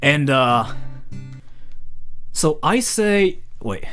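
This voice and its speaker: A young man from Japan talks off the cuff to his stream, rambling and leaning on fillers at every turn. His pitch jumps around a lot, swooping up and dropping low. Soft guitar music plays faintly underneath. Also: sing-song, very slow. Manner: rambling, filler-heavy, says "freaking" and "like" constantly. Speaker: live streamer. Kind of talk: casual live-stream chat.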